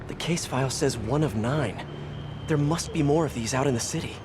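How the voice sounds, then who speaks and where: A man's voice: medium pitch, normal speed, noisy background, natural voice. An actor playing a young, quippy superhero investigator with an American accent, earnest and wry as he thinks aloud in a video game. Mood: wry, musing. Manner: conversational, wry, muses to himself. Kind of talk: video game voice acting, monologue.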